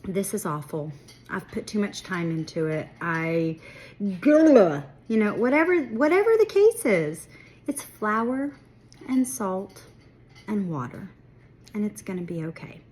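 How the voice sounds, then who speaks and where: A woman's voice: mid-range voice, lively intonation, slow, darkish tone. A young woman talking through her bread-baking in her home kitchen, chatty and reassuring, with a congested, sniffly voice. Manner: chatty, self-deprecating, reassuring. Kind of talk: casual baking tutorial talk.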